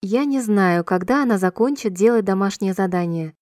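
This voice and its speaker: A woman reading short sentences slowly and clearly for a language-listening drill, repeating each one in a measured, even way. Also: medium pitch, no echo, clean studio sound. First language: Russian. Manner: clear, measured, evenly paced repetition. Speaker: Russian sentence reader.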